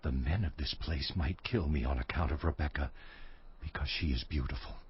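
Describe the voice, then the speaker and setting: A man playing a mild, earnest Hebrew patriarch in a dramatized scripture reading, speaking deliberately. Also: rumbling low, slightly rough, exaggerated voice. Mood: anxious. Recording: dull recording under prominent music.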